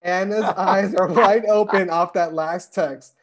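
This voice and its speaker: A man's voice: sits high, fairly even intonation, a little slow, a bit bright. A man narrating a script at a table read, reading the stage directions aloud over a video call. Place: indoors at home on a video call. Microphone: video call microphone.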